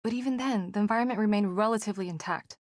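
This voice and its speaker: An actress playing a composed, clinical and earnest woman psychologist in a horror game, with precise, measured wording. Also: mid-range voice, darkish tone, dry recording, studio quality, natural voice. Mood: measured, serious. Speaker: video game voice actor. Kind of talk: scripted game dialogue acting.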